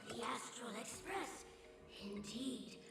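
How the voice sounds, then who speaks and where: A wary, formal female guardian who speaks slowly and measures every word. She sounds cautious and reserved. Her voice carries a long, roomy reverb over clear game music. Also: fairly high pitch, lively intonation, distant mic, heavily acted. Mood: guarded.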